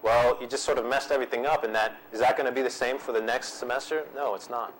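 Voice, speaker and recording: A man's voice: mid-range voice, steady tone, fast talker, a little hiss or hum, poor audio. A man presenting a workshop and answering audience questions in an easygoing way, repeating himself with frequent ums. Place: seminar room.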